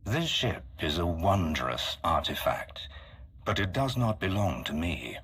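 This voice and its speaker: A man voicing a solemn, calculating mastermind in a video game, speaking formally and deliberately with a husky voice. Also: low-pitched, very animated, a bit bright, exaggerated voice. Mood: solemn, controlled. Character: solemn, calculating male mastermind with grand galactic ambitions. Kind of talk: dramatic video game acting.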